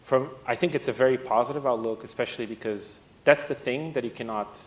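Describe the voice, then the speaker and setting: A man from Portugal speaking as a panelist at a conference, relaxed and conversational. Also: brisk. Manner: relaxed, conversational, laughs often. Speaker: panelist, fund partner. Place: conference stage. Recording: echoey hall with faint rumble.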